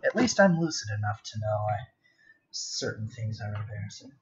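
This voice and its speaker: A man's voice: fairly low pitch, sing-song, normal speed. Muffled, self-deprecating young man chatting. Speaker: art livestreamer. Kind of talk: casual livestream chat while drawing. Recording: muffled home mic with background noise. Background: background noise, laptop cooling fan.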